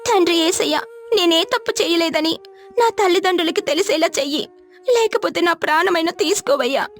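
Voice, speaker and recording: Storybook young widow, acted by a female narrator: very high voice, tearful, pleading, wronged, faint music behind. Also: a little fast. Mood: tearful despair.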